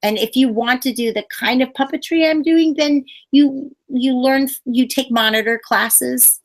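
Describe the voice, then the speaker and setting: A woman puppeteer chatting loosely in an interview, her thoughts tumbling out with lots of fillers and restarts. Her voice sits a little high, with an easy, conversational flow. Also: measured pace. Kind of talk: casual interview chat.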